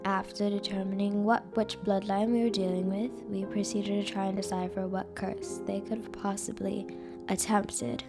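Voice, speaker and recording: A woman playing a composed vampire council member in a scripted roleplay, with formal, measured delivery. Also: medium pitch, flat delivery, over loud music, close to an everyday voice. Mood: calm, grave.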